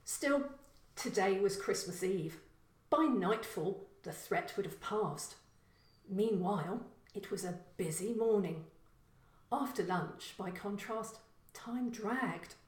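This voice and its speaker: Slow-paced woman storyteller in a roomy space. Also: medium pitch, animated. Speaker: author reading own short story. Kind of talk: scripted narration of a story.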